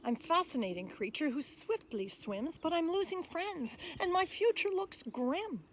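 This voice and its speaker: A woman voicing a cute, gentle, worried sea otter in singsong picture-book rhyme. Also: very high voice, animated, normal speed, a little hiss or hum, pitched above the performer's own voice. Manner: singsong rhyming delivery. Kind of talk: rhyming picture-book read-aloud.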